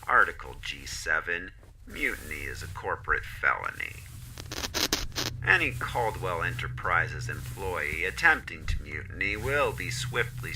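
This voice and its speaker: A very low male voice as a menacing corporate official in an audio drama. He recites rules formally and pompously, with theatrical rises and falls. There is clear noise behind him. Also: bright, forceful tone, full character voice, poor audio.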